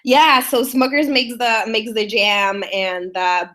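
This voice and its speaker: A woman's voice: a little high, steady tone, slow, fairly bright tone. Salvadoran spanish accented woman in her 30s, chatty webinar guest, lots of uhs and restarts. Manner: chatty, many fillers and restarts. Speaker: guest speaker. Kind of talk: webinar interview talk.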